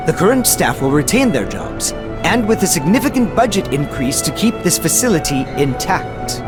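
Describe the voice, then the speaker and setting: A principled young anime scientist with a fairly high, expressive male voice. He talks formally and deliberately with calm resolve, over loud music. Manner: measured, formal, soft-spoken resolve. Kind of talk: anime game voice acting.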